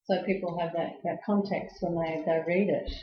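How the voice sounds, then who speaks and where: A woman's voice: fairly low pitch, steady tone, normal speed. Interviewer, woman, friendly prompting, roomy dull tape. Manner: chatty, encouraging, many "um" fillers. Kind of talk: oral history interview. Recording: echoey room, dull lo-fi tape.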